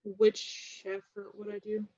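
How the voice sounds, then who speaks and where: A woman's voice: mid-range voice, monotone, slow pace, dark tone, lo-fi sound. A woman chatting casually as a player in a tabletop game, asking about rules and her moves. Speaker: player and co-host.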